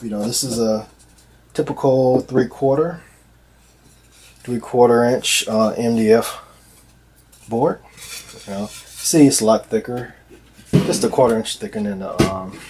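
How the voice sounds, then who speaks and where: A man speaking casually and off the cuff as a loudspeaker maker presenting products, in a hesitant, repetitive, halting way. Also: on the low side. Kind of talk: casual unscripted product explainer. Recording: noticeable background noise, amateur video sound.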